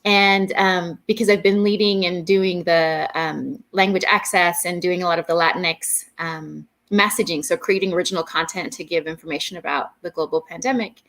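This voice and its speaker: A woman's voice: on the low side, normal speed, few pauses. A woman in her forties, a guest poet, talking candidly and casually on a video call, now and then swearing. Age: 40s. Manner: relaxed and candid, with mild swearing.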